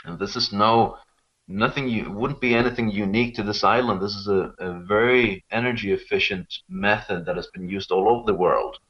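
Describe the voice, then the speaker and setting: A man's voice: on the low side, normal speed. A man from Sweden, explaining things informally and conversationally as an interview guest. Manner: informal, explanatory, often checking with "you know". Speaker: interview guest, local expert on Rapa Nui. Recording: dull, lo-fi call-like audio.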